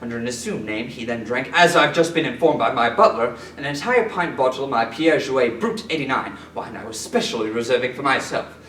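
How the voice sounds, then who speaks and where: A man performing a comic period monologue as an indignant, pompous Victorian gentleman, orating formally. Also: medium pitch, expressive, a little fast, lightly acted. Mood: affronted, haughty. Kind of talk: theatrical period comedy monologue. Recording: roomy, distant mic, background noise.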